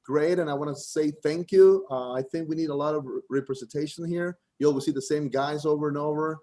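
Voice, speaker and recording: A man with a Costa Rican Spanish accent speaks in a somewhat high voice. He rambles through a stand-up set over a video call, stumbling, restarting, and filling gaps with "man." Spanish is his first language. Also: steady tone, warm tone. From Costa Rica. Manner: halting, frequent restarts, keeps saying "man". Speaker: first-time open mic comedian.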